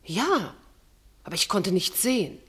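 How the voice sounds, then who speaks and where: An actress playing a cornered, anxious woman suspect in a German radio mystery, with a husky voice and emphatic, dramatic delivery. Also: medium pitch, sing-song, unhurried, a bit bright, full character voice. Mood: defensive. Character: cornered female suspect anxiously defending herself.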